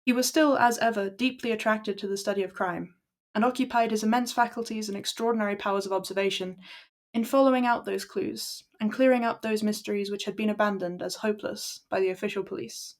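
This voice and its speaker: A young Irish woman with a croaky voice, chatting casually to her stream and then reading a classic story aloud in a steady, measured way. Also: mid-range voice. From Ireland. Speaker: livestream book reader.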